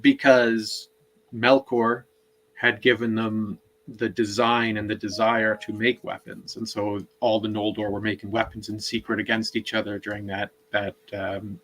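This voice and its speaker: A man's voice: fairly low pitch, little pitch movement, unhurried, a bit bright, slight background noise, low-quality recording. A man co-hosting a book discussion, explaining things in a knowledgeable, conversational way. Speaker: co-host.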